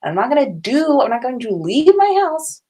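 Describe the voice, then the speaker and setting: A woman's voice: sits high, sing-song, fairly quick, warm tone, slightly rough. A woman hosting a casual livestream from indoors at home, chatting informally with viewers. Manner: informal, chatty, sprinkled with swearing and giggles. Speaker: podcast host on a livestream.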